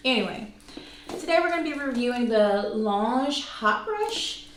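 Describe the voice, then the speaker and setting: Woman around 40, chatty casual vlogger, kinda high voice, very roomy sound w faint noise. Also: animated, a little slow. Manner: informal, conversational, self-deprecating asides. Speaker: video host, product reviewer. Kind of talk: casual chatty vlog to camera. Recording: echoey room, faint background noise.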